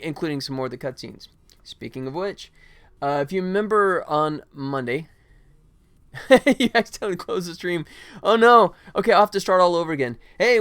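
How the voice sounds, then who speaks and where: A middle-aged man streaming as a game developer, chatting casually with viewers in a friendly, self-deprecating, rambling way with halting starts. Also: fairly high pitch, clear, resonant tone, no room sound. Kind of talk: casual livestream chat to viewers.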